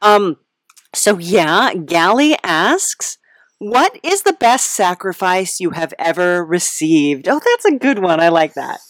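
A woman's voice: on the low side, very animated, slow pace, dry recording. A woman hosting a casual comic podcast interview in a hesitant, awkwardly chirpy, giggly manner. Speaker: podcast host.

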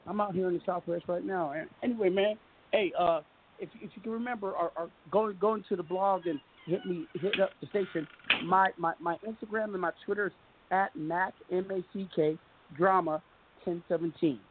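A man hosting a call-in talk radio show, talking fast and joking, often cutting in over his caller. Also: high voice. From US West Coast. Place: home studio in the Southwest. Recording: phone-line audio with faint hiss.

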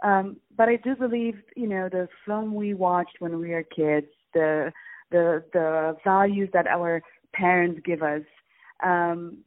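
A woman from Poland, an actress, being interviewed over the phone, answering thoughtfully with many ums and pauses. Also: lowish voice. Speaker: guest actress. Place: on a phone call. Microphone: telephone. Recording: tinny phone line, dry.

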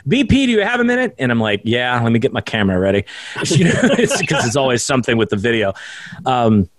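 A man's voice: mid-range voice, sing-song, fast talker, no echo. A young adult man, a self-taught radio broadcaster, chatting as a guest in a casual interview with jokey, self-deprecating asides. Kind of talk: casual podcast interview chat. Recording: dry close sound.

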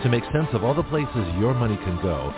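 A male announcer with a low but lively voice reads a dramatic, scripted segment intro. Loud music plays under him, and the sound is narrow like a phone line. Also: a little fast. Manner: dramatic, promotional delivery.

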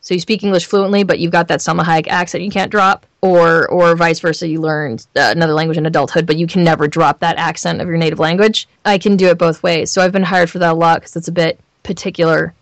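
A woman with a Southern California accent talking candidly in an interview as a voice actor, emphatic and expressive in how she tells things. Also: fairly low pitch, quick pace, few pauses, no room sound. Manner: animated storyteller, emphatic repetition, acts out imagined lines. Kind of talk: candid, chatty interview talk.

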